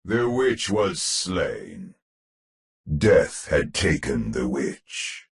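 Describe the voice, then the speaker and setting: A man's voice: a bass voice, huge pitch swings, very slow, gravelly, exaggerated voice. A man playing a grandiose storyteller who narrates a spooky gothic tale for a game in an ominous, pompous, theatrical way. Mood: grave, dramatic.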